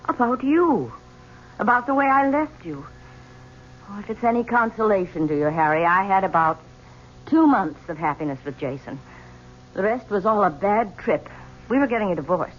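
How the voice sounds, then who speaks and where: An actress playing a guilt-ridden estranged wife who speaks softly and hesitantly. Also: female voice, mid-range voice, animated, moderate pace, natural voice. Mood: guilty, gentle. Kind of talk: 1970s radio drama acting. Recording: dull old radio recording with faint hiss.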